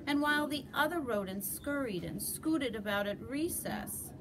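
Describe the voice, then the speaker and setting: A woman reading a children's storybook aloud expressively, with dramatic pauses and emphasis. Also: high voice, lively intonation, background noise. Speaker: storybook reader.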